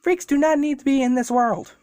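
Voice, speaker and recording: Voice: sits high, brisk, warm tone, no echo, pitched above the performer's own voice, close to an everyday voice. An amateur narrator voicing a scornful, arrogant young male bully in a spoken fan story.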